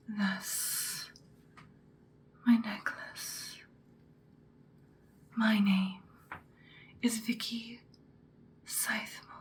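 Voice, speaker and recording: Slow whispering ancient vampire woman, acted for ASMR. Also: medium pitch. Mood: mysterious, solemn. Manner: slow, deliberate, breathy whispering. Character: ancient female vampire slayer, seductive, stern, menacing. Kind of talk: ASMR personal-attention roleplay, whispered.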